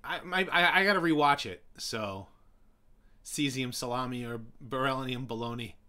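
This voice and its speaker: A young man hosting a casual live stream, rambling with many fillers and restarts as he answers viewers, his voice getting hoarse. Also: mid-range voice, moderate pace, sharp tone. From New York City.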